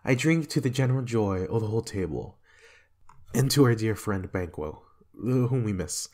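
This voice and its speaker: A man playing a guilt-haunted, fearful and raging king in a Shakespearean dramatic reading, with a husky voice. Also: on the low side, lively intonation, moderate pace, a little mellow. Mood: forced cheer. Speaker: amateur reader in a dramatic reading.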